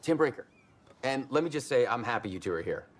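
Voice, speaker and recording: A man playing an easygoing, frank small-town sheriff in a game drama, plain-spoken, with a gravelly, husky voice. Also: mid-range voice, animated, fast talker, close to an everyday voice. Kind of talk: game cutscene drama acting.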